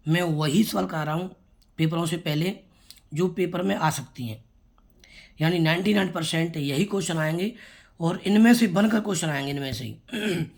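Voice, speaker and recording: Math teacher with a sore throat. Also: male voice, a little high. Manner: repetitive, explains step by step, addresses the children directly. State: sore throat, clearing it often. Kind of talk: classroom math lesson for students.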